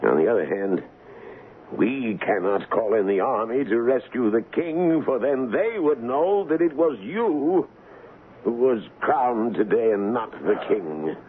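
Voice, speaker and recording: An actor playing a loyal, excitable veteran colonel in a theatrical, deliberate 1970s radio drama style. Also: male voice, mid-range voice. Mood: grave, reasoning. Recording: muffled 1970s radio broadcast, faint hiss.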